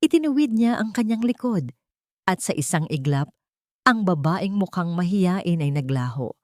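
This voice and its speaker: A woman with a low-pitched voice narrates a scripted drama as a storyteller. The recording is very dry and close, with no room sound around her. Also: very animated, moderate pace, darkish tone, dry recording.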